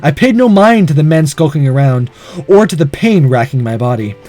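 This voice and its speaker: A man's voice: fairly high pitch, expressive, normal speed, warm tone, faint music behind. A man reading a visual novel aloud in dramatic first-person narration.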